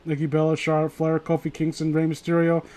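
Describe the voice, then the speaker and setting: A man's voice: on the high side, completely flat delivery, a little fast, warm tone. A man casually reading aloud on a livestream, rambling and sweary, often breaking off mid-sentence with mocking commentary. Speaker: streamer reading stories aloud to viewers.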